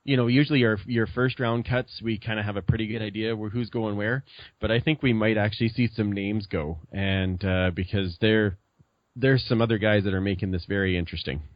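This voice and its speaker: A middle-aged man chatting as a podcast guest, halting with many fillers and restarts. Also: fairly low pitch, fairly even intonation, no echo. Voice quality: loud voice. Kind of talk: casual chat. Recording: dull lo-fi sound.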